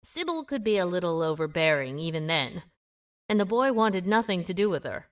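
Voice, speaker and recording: A woman's voice: lowish voice, big pitch swings, a little fast. A woman playing a guarded, independent small-town amateur sleuth in an audio drama, with a wry, reserved manner. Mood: reluctant, reflective. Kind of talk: audio drama dialogue acting.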